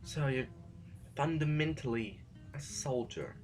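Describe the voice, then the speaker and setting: A man's voice: medium pitch, lively intonation, unhurried, a little mellow, faint music behind, natural voice. A man playing an anguished, bitterly philosophical reluctant rebel in a science fiction audio drama, with a theatrical, wordy delivery. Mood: troubled, probing. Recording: faintly noisy amateur recording.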